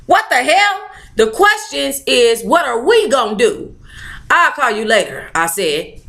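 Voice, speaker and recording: A woman's voice: high voice, sing-song, measured pace, vocal fry, a bit bright. A woman hosting a book club, reading aloud expressively with sassy, informal interjections of her own. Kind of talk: expressive read-aloud with playful ad-lib commentary.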